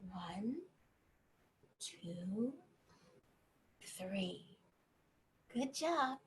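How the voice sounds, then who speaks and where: A woman reading a bedtime story to young children, gently encouraging them and pausing to ask questions. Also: fairly high pitch, expressive, breathy edge, poor audio.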